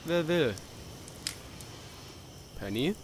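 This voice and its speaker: Man as a cheeky, lively young stoner drifter. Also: fairly high pitch, drawn-out words, background noise. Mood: goading. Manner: cocky, teasing, casual. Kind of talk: German fan dub of a video game.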